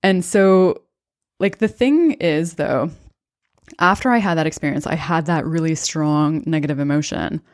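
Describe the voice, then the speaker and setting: A woman in her thirties, a podcast host and coach, calmly telling a personal story in a thoughtful, conversational way. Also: low voice, a little slow, no echo, clean studio sound. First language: bilingual English and Estonian. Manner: calm, thoughtful, conversational with occasional fillers.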